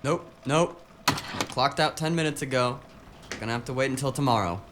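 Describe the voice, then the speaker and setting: Man acting theatrical grumbling office techie, medium pitch. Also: normal speed, background noise, lightly acted. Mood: reluctant. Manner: melodramatic, casual, slangy. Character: theatrical, grumbling male tech office worker. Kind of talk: audio drama acting.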